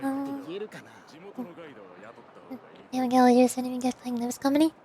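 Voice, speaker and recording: A woman's voice: high voice, flat delivery, normal speed, dark tone. A woman livestreamer chatting casually over a game in a playful, theatrical way. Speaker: vtuber streamer.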